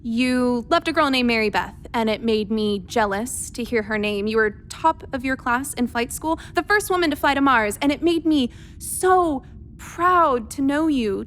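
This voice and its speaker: A woman's voice: on the high side, a little hiss or hum, natural voice. A woman playing a tender, wry, smitten doctor in a radio drama, in a hesitant conversational way. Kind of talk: naturalistic radio drama acting.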